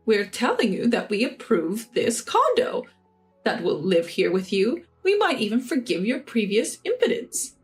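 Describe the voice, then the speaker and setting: A woman's voice: on the high side, wildly swooping pitch, unhurried, faint music behind. A woman voicing a scornful, demanding mother-in-law who speaks down to her daughter-in-law. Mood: condescending. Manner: haughty, condescending.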